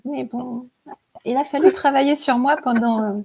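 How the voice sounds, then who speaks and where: A woman's voice: sits high, fairly even intonation, normal speed, creaky, a little mellow, husky. A woman in her forties, a guest telling her story in a chatty, hesitant way with many fillers and frequent laughs. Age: 40s. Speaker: guest, spiritual author and teacher. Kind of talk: casual interview storytelling.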